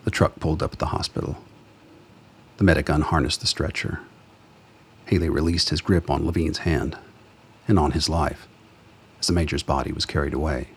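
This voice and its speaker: A man narrating a radio play in a measured, solemn storytelling manner. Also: very deep voice, steady tone, husky.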